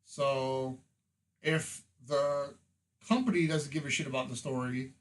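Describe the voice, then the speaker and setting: A man's voice: medium pitch, steady tone, a little slow. A man chatting casually as a panelist on a podcast about entertainment. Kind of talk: casual film and game discussion.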